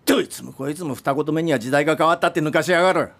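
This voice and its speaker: An actor playing a stubborn, hot-tempered elderly film director in a radio drama, talking in coarse, blustering language. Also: male voice, a little high, rapid-fire, fairly bright tone. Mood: indignant, blustering. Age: older. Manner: coarse, blustering rough speech.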